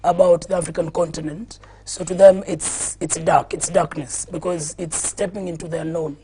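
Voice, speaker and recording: Mellow-voiced coastal man. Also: a little high, level tone, normal speed, a little hiss or hum. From Kenyan coast. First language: Swahili. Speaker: guest, activist.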